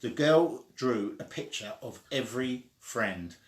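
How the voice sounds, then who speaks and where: A man reads a children's story aloud with a lively, widely varying pitch. His delivery is expressive, with the odd stumble and restart. The room he records in gives his voice a noticeable echo. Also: takes it slowly. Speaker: story reader. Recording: echoey room.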